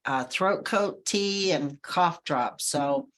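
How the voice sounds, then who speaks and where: Brief: woman guest speaker, stuffy nose and a bit hoarse, warm and energetic, video call, lowish pitch. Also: slow pace. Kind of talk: webinar presentation.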